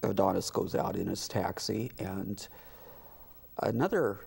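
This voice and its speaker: A middle-aged or older man being interviewed on a talk show as a theater director, speaking haltingly and sometimes trailing off. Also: low-pitched, vocal fry, darkish tone, breathy edge. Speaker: guest, community theater director. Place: TV studio.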